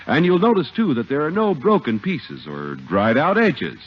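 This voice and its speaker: A man's voice: mid-range voice, big pitch swings, normal speed, breathy edge. A man reading a 1950s radio commercial as the announcer, with enthusiastic, polished salesmanship. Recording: muffled 1950 radio recording.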